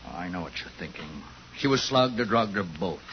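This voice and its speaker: An actor playing a weary but loyal police superior who goes by the book, in a 1950s radio thriller. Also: male voice, sits low, animated, fairly quick. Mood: resigned, firm. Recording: muffled, slightly noisy vintage radio recording.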